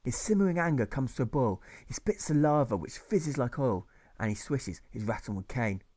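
A man's voice: medium pitch, animated, darkish tone. Man reading rhyming verse. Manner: rhythmic, measured. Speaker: author reading his own novel. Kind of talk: rhyming audiobook narration.

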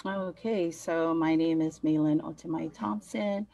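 A woman's voice: deep voice, measured pace, gravelly, mellow, no echo. A middle-aged woman from American Samoa and Hawaii, answering interview questions conversationally as a guest. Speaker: interview guest, census partnership specialist. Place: indoors on a video call. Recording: dull lo-fi video call.

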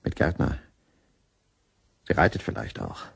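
A man's voice: a bass voice, very animated, unhurried, gravelly, a bit bright, husky, full character voice. An actor playing a troubled, hesitant married Frenchman in a German crime radio drama. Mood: brooding. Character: troubled married Frenchman, probing, flustered when caught.

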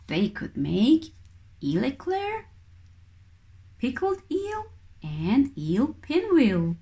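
A woman reads a children's picture book. Her voice sits fairly high and moves in lively swoops. She takes it very slowly, placing each phrase with care. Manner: expressive, deliberate phrasing. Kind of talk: storybook read-aloud for young children.

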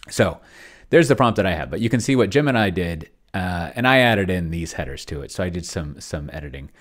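A man's voice: sits low, expressive, a little fast. A middle-aged man giving a casual, unscripted walkthrough as a host, rambling and often interrupting himself in an offhand way. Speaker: host of a tabletop roleplaying tech channel. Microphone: desk microphone close to the mouth.

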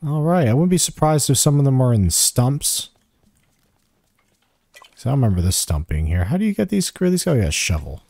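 A man's voice: mid-range voice, big pitch swings, moderate pace, gravelly, pauses often, darkish tone, no room sound. A man giving a casual video game walkthrough, thinking aloud in short, offhand remarks as he plays. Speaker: gamer giving a quest walkthrough. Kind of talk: casual gameplay commentary.

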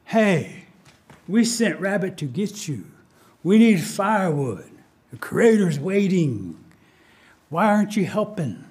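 A man voicing a crafty, eager little trickster rabbit in an oral folktale, with a coaxing, animated manner. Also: high-pitched, slow, long pauses, slightly rough, full character voice. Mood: urging.

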